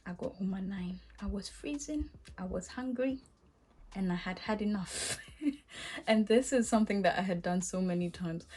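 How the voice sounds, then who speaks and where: A woman's voice: mid-range voice, moderate pace, breathy edge. A young woman from Ghana with a Ghanaian accent, casually telling a story to her vlog viewers and acting out her own reactions. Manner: animated, acts out her own past reactions with laughs. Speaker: vlogger.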